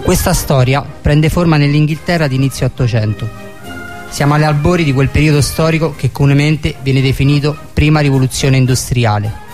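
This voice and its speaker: A man's voice: fairly high pitch, steady tone, a little fast, a little mellow, soft background music. A man giving scripted historical narration as the narrator of a radio programme.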